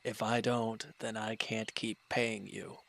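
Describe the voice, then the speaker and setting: Low-voiced, bitter wounded villain played by a man. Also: little pitch movement, slow pace, creaky, fairly bright tone, exaggerated voice. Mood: irritated, defensive. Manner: curt, irritable, dismissive, sighing. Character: proud, bitter, wounded male villain, reluctant tenant. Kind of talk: dramatic roleplay audio monologue.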